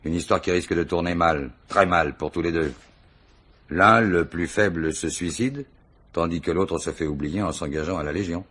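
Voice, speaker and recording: An actor playing a gruff, impatient police commissioner who is bossy and teasing with his subordinate, in a French radio crime drama. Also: low voice, moderate pace, a bit bright. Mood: pondering.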